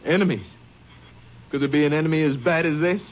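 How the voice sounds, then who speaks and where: An actor playing a bitter, grief-stricken husband with a curt, strained manner in a 1950s radio drama. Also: male voice, on the high side, brisk, close to an everyday voice. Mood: grieving, strained. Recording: muffled vintage radio recording.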